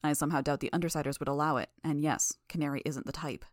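A woman's voice: sits low, fairly even intonation, quick pace, dry recording, studio quality, close to an everyday voice. A woman doing a clipped, serious armored man. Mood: matter-of-fact. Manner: clipped, matter-of-fact.

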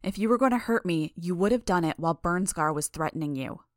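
A woman's voice: lowish voice, lively intonation, fast talker, no room sound, studio quality, lightly acted. Woman as a determined, defiant teenage girl. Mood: reasoning. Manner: insistent, argumentative. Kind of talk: dramatised audiobook dialogue.

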